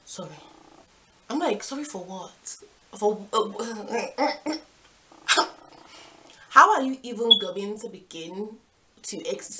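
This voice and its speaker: A woman's voice: medium pitch, bright, forceful tone. A young woman tells a casual personal story, slangy and dramatic, acting out each side of the dialogue. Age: young adult. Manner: slangy, dramatic, quotes people with exasperated emphasis. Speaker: storytelling vlogger.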